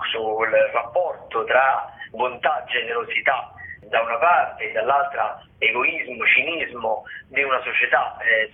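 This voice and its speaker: A man presents arts listings as a radio host in a semi-improvised, hesitant way, searching for words mid-phrase. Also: mid-range voice. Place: radio broadcast. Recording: tinny, narrow phone-like radio sound.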